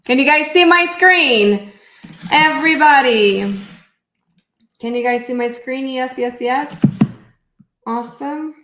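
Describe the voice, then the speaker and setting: A woman's voice: high voice, takes it slowly, pauses often, fairly bright tone, echoey room, a little hiss or hum. A middle-aged woman with a Brazilian accent hosting a live online class, chatty and upbeat, thinking aloud as she shares her screen. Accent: Brazilian Portuguese. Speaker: therapy educator hosting a live webinar. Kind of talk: casual live online presentation.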